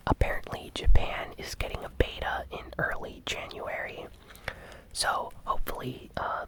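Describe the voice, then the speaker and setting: A host whispering an ASMR gaming news recap in a breathy, unhurried, hushed and gently enthusiastic way. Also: poor audio.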